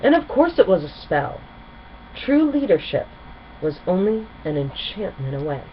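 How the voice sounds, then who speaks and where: A woman narrating a children's story in an expressive storytelling style. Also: on the low side, measured pace. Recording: dull sound with faint background noise.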